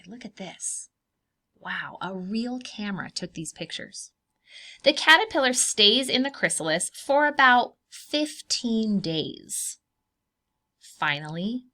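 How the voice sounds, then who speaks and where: Slow, very animated woman reading to preschoolers. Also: mid-range voice. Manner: simple child-directed talk, asking questions and drawing out key words. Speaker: preschool teacher reading aloud. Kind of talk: read-aloud to young children with simple explanations.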